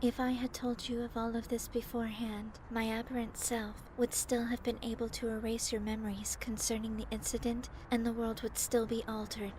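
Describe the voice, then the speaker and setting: A fairly high, monotone girl's voice, performed by a woman, deadpan and formal. She plays an emotionless anime teenager speaking precisely, with clear noise behind her. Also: normal speed, few pauses, lightly acted. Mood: impassive. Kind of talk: anime fan dub acting.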